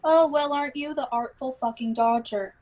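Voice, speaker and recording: A woman's voice: high-pitched, moderate pace, darkish tone. A woman playing a brash, foul-mouthed female secret service boss, sarcastic and scolding, in a comedy script read. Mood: exasperated.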